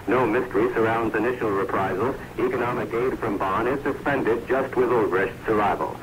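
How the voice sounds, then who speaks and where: A man's voice: fairly low pitch, normal speed. A man giving a scripted 1960s radio news report as a foreign correspondent. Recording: scratchy noisy 1960s radio recording.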